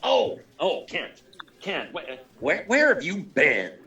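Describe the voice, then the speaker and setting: A bright, forceful male voice from an actor as a harried newspaper boss in an old radio serial. He stammers and barks clipped orders with big swings in pitch, somewhat off the mic. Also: huge pitch swings, slow pace, muffled recording, heavily acted. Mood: anxious, flustered. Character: harried, gruff male newspaper editor in chief. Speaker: actor in a live radio play. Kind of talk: 1940s radio serial acting. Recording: distant, far from the mic.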